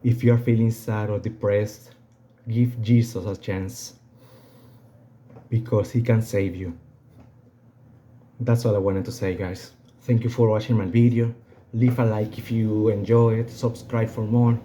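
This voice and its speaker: A young man with a Venezuelan accent and native Spanish, giving an unscripted personal testimony in a halting way, often repeating phrases. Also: fairly low pitch, little pitch movement, mellow, slight background noise. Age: young adult.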